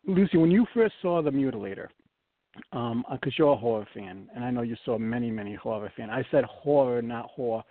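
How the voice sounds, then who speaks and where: A man with a Rhode Island accent hosts a loose panel chat, often restarting his sentences. His voice sits at a middling pitch and comes through dry, with no room around it. The sound is narrow and tinny, like a phone line. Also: animated, a little fast, dry recording. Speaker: talk show host.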